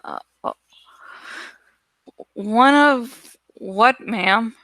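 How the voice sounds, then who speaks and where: A woman plays a teenage girl in a storybook, wary yet determined. She speaks hesitantly and carefully in a high, bright voice. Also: very slow, no echo, exaggerated voice, poor audio. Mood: hesitant, polite. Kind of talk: audiobook character acting.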